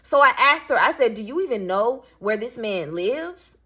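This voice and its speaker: A raspy-voiced woman in her thirties, a single parent venting bluntly and with swearing as she tells a story to her viewers. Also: fairly high pitch, lively intonation, moderate pace, bright, forceful tone. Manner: blunt, profane, emphatic.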